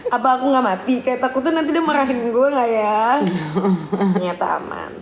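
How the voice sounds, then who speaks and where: A woman's voice: on the high side, moderate pace. Giggly young woman chatting over a meal. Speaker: live stream co-host. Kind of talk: casual chat while eating. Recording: echoey room with faint noise. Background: clinking cutlery and dishes.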